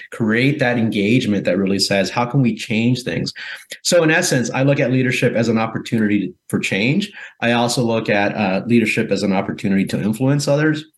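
A man from Guatemala, a guest in an interview, giving thoughtful answers with frequent pauses and restarts. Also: on the low side. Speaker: guest, corporate philanthropy executive.

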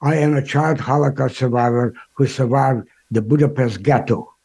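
Older Hungarian-accented man, husky and mellow. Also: mid-range voice. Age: 80s. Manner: halting, repeats and restarts words. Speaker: guest, Holocaust survivor. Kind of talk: interview answers, recounting history.

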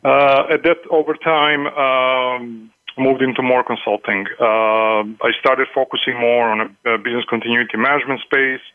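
A man answers interview questions over a phone line, pausing often with uhs and ums. The call sounds tinny and thin, and there is no room echo. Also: medium pitch, steady tone, clear, resonant tone. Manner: halting, frequent uhs and ums. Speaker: guest consultant.

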